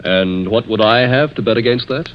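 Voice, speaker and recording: An actor playing a bitter, desperate, struggling chemist in a 1940s radio mystery, halting and earnest. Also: male voice, medium pitch, close to an everyday voice. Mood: guarded, bitter. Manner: halting, earnest, brooding delivery. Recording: muffled, noisy 1940s radio recording.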